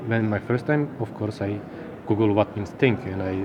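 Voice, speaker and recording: A man in his thirties from Turkey, speaking English with a Turkish accent as an interview guest, halting and searching for words. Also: fairly low pitch, noisy background. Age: 30s. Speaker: linguist guest. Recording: outdoor crowd chatter behind.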